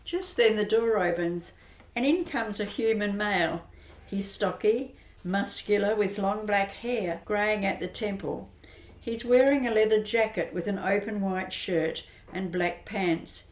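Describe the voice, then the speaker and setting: A woman narrating an amateur radio drama in a steady, descriptive way. Her voice sits at a middle pitch. The recording has a roomy echo around it. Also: unhurried.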